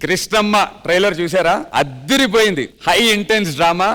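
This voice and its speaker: High-voiced energetic male stage host. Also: a little fast, soft background music. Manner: lively, mixes English and Telugu, hypes guests. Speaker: dance show anchor. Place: large TV studio stage.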